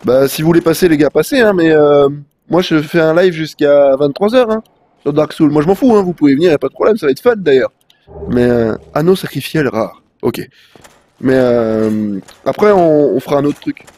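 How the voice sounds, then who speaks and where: Young male streamer, stuffy with a cold, slangy and rambling, slightly high voice. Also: normal speed, warm tone. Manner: rambling, slangy, frequent fillers. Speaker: live-streaming gamer. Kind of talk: casual livestream chat.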